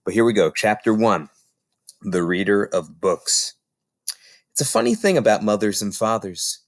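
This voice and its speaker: Man reading children's stories with lively pitch. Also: moderate pace, dry recording, studio quality. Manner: theatrical, emphatic phrasing. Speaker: storyteller reading a children's book aloud. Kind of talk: expressive children's read-aloud narration.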